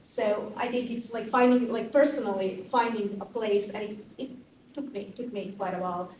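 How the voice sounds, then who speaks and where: A woman's voice: mid-range voice, normal speed. Croatian woman, distant in echoey room. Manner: animated, thinking aloud with many fillers. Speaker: interview guest, fashion activist. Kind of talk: conversational interview answers. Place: conference venue. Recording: distant mic in an echoey room with faint noise.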